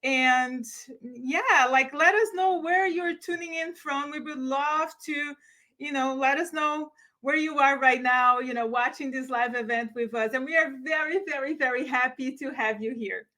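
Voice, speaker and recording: A woman's voice: high voice, moderate pace, pushed and bright. A woman from Brazil, casually explaining things as a coach co-hosting a live online workshop.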